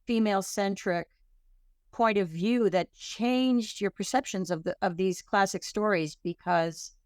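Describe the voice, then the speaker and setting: A woman author being interviewed on a podcast, answering thoughtfully and often pausing to rephrase. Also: mid-range voice, clear, resonant tone, no room sound, clean studio sound. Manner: thoughtful, self-correcting, searching for words. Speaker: author guest.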